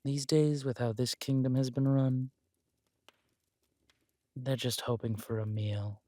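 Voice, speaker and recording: A man's voice: mid-range voice, steady tone, slow pace, warm tone, no room sound, natural voice. A man playing a villainous conqueror king in a romantic roleplay audio, smug and flirtatious, teasing and haughty as he draws out his words. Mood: conspiratorial, cynical.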